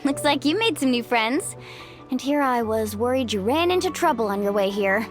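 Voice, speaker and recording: Confident young warrior woman, voiced by an actress: highish, animated, encouraging, music underneath. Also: normal speed, natural voice. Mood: friendly. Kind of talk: anime game voice acting. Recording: music behind.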